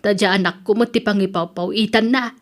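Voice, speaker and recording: A woman's voice: mid-range voice, steady tone, fairly quick, lightly acted. A woman with an Ilocano accent acting an evasive, resentful overseas worker in an audio drama. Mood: harried. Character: evasive, resentful married woman working abroad. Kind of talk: Ilocano radio-style family drama acting.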